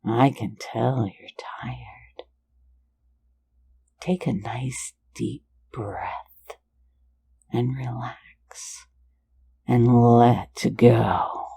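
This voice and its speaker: A woman's voice: extremely low pitch, steady tone, extremely slow, gravelly, pauses often, warm tone, husky, full character voice. A woman voicing a sly, playful phantom thief in an ASMR roleplay, mostly whispering close to the mic in a teasing, soothing way. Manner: teasing, soothing, lulling listener to sleep.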